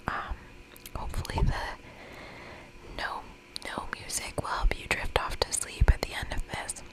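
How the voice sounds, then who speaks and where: A woman whispering in a breathy, gentle, reassuring and chatty way as she hosts an ASMR game playthrough. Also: poor audio. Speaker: host of an ASMR gaming channel.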